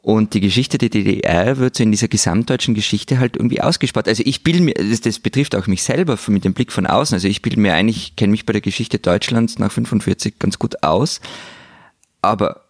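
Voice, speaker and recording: A man's voice: fairly low pitch, brisk, no echo, studio quality. A man co-hosting a casual discussion, hesitant and thinking aloud with many questions. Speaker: podcast co-host.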